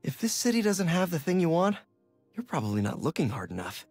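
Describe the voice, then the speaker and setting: An actor playing a friendly young adult New York superhero with an American accent, making wry, conversational remarks in a video game. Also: male voice, a little high, wildly swooping pitch, brisk, a little mellow, breathy edge, no echo, faint music behind, heavily acted. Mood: wry nostalgia. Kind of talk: game voice acting, casual reflection.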